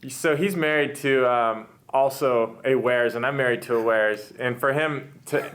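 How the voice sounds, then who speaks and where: A man's voice: medium pitch, a little slow, fairly bright tone. A man hosting a casual conversational interview, talking in an enthusiastic, rambling way. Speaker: host, interviewer.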